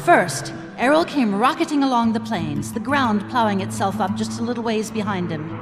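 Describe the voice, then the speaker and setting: A woman's voice: fairly high pitch, lively intonation, moderate pace, lightly acted. A woman acting as narrator of a comic fantasy stage drama, telling it vividly. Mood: dramatic, wry. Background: loud music.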